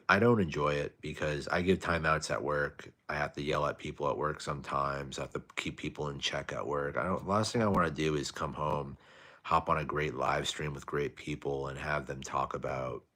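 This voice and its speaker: Young male streamer, very low soft voice, relaxed low-energy delivery. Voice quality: soft-spoken. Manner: tired, low-energy, laid-back. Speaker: live stream host. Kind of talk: casual live stream chat reading viewer comments.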